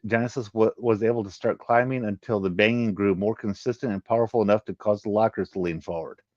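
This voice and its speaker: A man reading a sci-fi adventure story aloud in a halting way, stumbling and repeating words. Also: lowish voice, no room sound, lo-fi sound. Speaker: reader of a web story, channel host.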